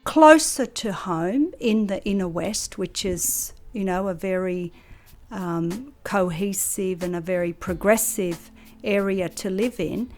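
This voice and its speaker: A woman speaking for a community centre, measured and hesitant, with fillers. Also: mid-range voice, darkish tone, soft background music. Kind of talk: interview talk.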